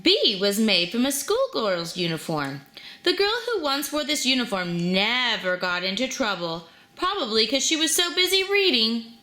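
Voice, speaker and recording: Woman storybook reader for young children, higher-pitched and very lively, expressive delivery. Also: normal speed. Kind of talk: children's story read aloud.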